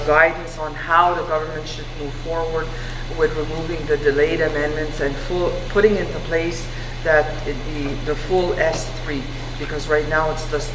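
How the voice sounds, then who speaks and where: A woman speaking as a government special representative, giving a formal presentation with steady, measured delivery and frequent fillers. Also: on the low side. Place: community recreation hall. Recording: roomy hall recording with background hum.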